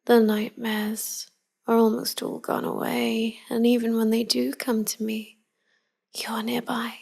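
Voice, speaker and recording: A woman playing a tender, melancholy vampire wife in an intimate pillow-talk roleplay, speaking softly and often whispering. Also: a little high, little pitch movement, heavily acted. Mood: fond, haunted. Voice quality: soft, breathy, often whispering. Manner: slow, hushed, confiding. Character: tender, melancholy vampire wife devoted to her human lover.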